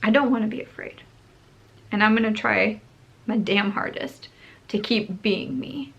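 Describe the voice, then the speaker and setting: A woman's voice: mid-range voice, measured pace, creaky, a bit bright, husky, lo-fi sound. A young woman in a casual get-ready-with-me vlog, talking in a candid, earnest and emotional way. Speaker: beauty vlogger.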